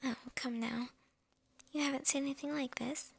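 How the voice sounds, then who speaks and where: A woman voicing a sly, flirtatious witch in an ASMR fantasy roleplay, teasing in a soft, breathy whisper. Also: high-pitched, steady tone. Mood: gossipy, coaxing. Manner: slow, teasing, with soft chuckles.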